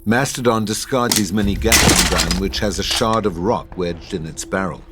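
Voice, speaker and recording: A man's voice: deep voice, moderate pace, heavy background noise. A man reading scripted screenplay narration as the narrator of a table read.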